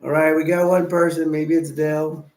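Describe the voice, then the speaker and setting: A tired, sleepy man hosting a casual late-night live stream, rambling and giving viewers shout-outs. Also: on the high side, flat delivery, moderate pace. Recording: echoey room.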